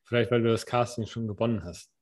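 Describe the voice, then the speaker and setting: A man co-hosting a podcast from his kitchen at home, bantering playfully and quickly in casual chat. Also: medium pitch, a little mellow. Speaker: podcast co-host. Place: home kitchen open to the living room.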